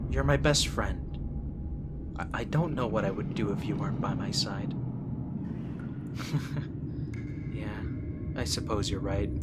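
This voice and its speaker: A loud ambient rumble sits under a low-pitched vampire prince acted by a man in an ASMR roleplay. He is proud and sardonic, yet devoted to his friend. His lines come haltingly, broken by breathy sighs and hushed asides. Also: lightly acted. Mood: tender, worried. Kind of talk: ASMR audio roleplay acting.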